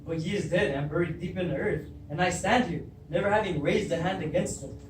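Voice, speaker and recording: A man's voice: high-pitched, a little fast, close to an everyday voice. A man acting a proud, troubled king in an amateur stage reading, declaiming and commanding. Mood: triumphant scorn. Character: proud, troubled king demanding the truth of his birth. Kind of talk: beginning drama class readers theatre. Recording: echoey room, distant mic, faint noise.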